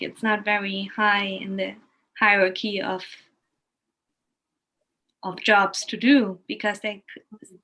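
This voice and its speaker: Woman, bright crisp-edged voice, average pitch, earnest explainer, long linked thoughts, unscripted talk. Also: poor audio. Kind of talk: unscripted discussion.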